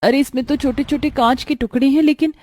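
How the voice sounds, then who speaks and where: Woman voicing a high-pitched, plaintive young bride. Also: fairly even intonation, quick pace, darkish tone, close to an everyday voice. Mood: pained wonder. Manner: plaintive, exclaiming, questioning. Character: pained, bewildered, innocent young bride.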